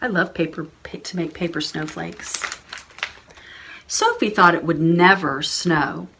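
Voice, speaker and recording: A woman's voice: lowish voice, a little slow, vocal fry, roomy sound, far from the mic, background noise. A woman librarian leading preschool storytime, sing-song and encouraging as she talks to small children. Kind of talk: preschool storytime with songs and reading.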